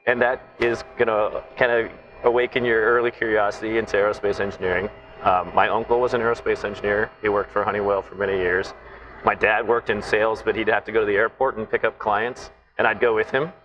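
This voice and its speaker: A man's voice: on the low side, flat delivery, fast, muffled recording, music in the background. Thoughtful man explaining flight testing. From Taiwan, raised in Southern California. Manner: thoughtful, explanatory, with frequent "right?" tags. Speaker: naval flight test officer, guest. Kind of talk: interview conversation.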